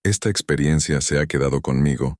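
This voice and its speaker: A man reads spooky first-person tales in an extremely deep voice. He keeps a steady, measured pace throughout. The sound is dry and close, with no room around it. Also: dry recording. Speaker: narrator. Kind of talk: scripted first-person horror narration.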